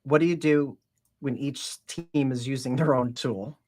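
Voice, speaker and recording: A woman's voice: very deep voice, a little slow, a crowd in the background. Rambling woman asking a question. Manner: rambling, restarts, laughs often. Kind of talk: casual chat on a video call.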